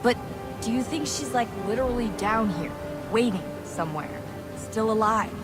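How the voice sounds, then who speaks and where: A woman playing a thoughtful, guarded character in a video game, speaking in a measured, earnest way with pauses. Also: fairly high pitch, animated, over loud music, lightly acted. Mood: wondering. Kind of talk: video game cutscene acting.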